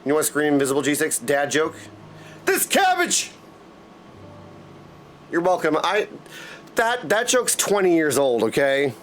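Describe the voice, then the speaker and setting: A man giving casual, excitable, jokey live commentary as he streams a game. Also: a little high, a little slow, long pauses, a little hiss or hum. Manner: excitable, jokey, bursts of exclamation.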